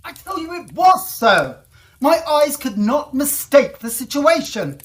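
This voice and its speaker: A man's voice: very high-pitched, big pitch swings, unhurried, heavily acted. An actor playing a distressed, insistent young huntsman in a period Gothic audio drama, speaking in formal old-fashioned diction. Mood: agitated, insistent. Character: distressed lost huntsman recounting his ordeal, insistent young husband.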